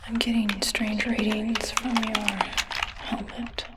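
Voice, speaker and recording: A woman playing a curious, clinical alien technician in an ASMR roleplay, whispering breathily and questioning in a hushed, muttering way. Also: a little high, flat delivery, takes it slowly, very noisy.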